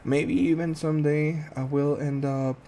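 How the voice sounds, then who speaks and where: A man's voice: fairly high pitch, level tone, slight background noise. Hesitant Guatemalan-accented man in his thirties. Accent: Guatemalan Spanish. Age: 30s. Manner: hesitant and halting, with many ums and restarts; shy, soft-spoken, earnest. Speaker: gaming channel host. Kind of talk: casual self-introduction while playing a game.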